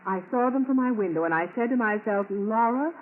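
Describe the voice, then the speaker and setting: High, fretful actress as radio housewife. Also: female voice, lively intonation, brisk, close to an everyday voice. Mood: puzzled. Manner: exclaiming, chatty. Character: fretful, inquisitive housewife, fond of her husband. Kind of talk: 1940s radio acting. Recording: noisy tinny 1940s radio recording.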